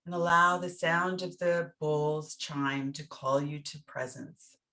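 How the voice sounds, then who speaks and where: A woman with a low voice guides a meditation at a very slow pace. Her delivery is gentle and soothing, with short phrases set apart by pauses, as a teacher leading listeners through each step. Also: steady tone, soft background music.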